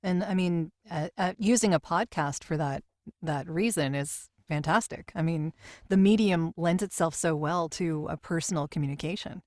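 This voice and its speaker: A woman with a lowish voice hosts a conversational interview. Her sound is dry and close, with no room around it, and she responds attentively. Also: normal speed, darkish tone, no echo. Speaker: podcast host.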